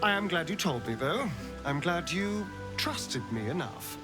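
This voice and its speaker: A man's voice: fairly high pitch, very animated, measured pace, over music. An actor playing a suave, teasing male magistrate with a theatrical, indulgent manner in a fantasy drama. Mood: amused, fond. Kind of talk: cinematic fantasy voice acting.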